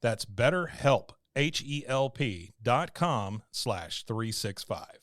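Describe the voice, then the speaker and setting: A man's voice: medium pitch, lively intonation, slow pace, noisy background. A man delivering a scripted advertisement as an announcer. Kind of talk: scripted ad read.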